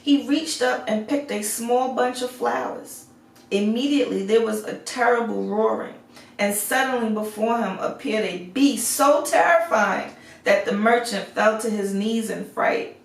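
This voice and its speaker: A woman reading a fairy tale aloud as storyteller, measured and expressive, in an echoey recording. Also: a little high, creaky. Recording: echoey room, far from the mic.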